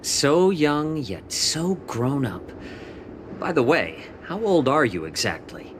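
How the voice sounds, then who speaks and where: A man voicing a gentle, genial healer in a game cutscene, smooth, unhurried and gently amused. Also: on the high side, big pitch swings, noisy background. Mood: fond. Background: game score music.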